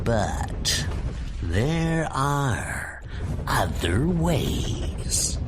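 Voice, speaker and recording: A man voicing a cunning, vengeful Norse god of mischief with theatrical, taunting, drawn-out delivery in a comic book dub. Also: medium pitch, background noise.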